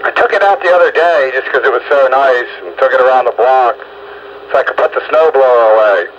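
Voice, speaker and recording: A man's voice: mid-range voice, a little fast, muffled recording. An older man chatting casually with fellow hobbyists over the radio as he hosts a round-up. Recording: very noisy radio transmission.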